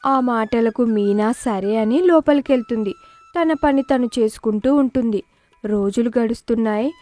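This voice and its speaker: A woman's voice: on the high side, normal speed, warm tone, faint music behind. A woman narrating a scripted moral story as a storyteller.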